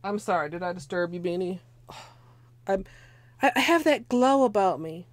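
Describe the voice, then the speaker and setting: Hoarse young woman, rambling livestream chatter, dry close sound. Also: mid-range voice, expressive, moderate pace, no room sound. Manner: chatty, rambling, many "like" fillers. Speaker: nail art livestreamer. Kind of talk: casual livestream tutorial. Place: small home room with space heater.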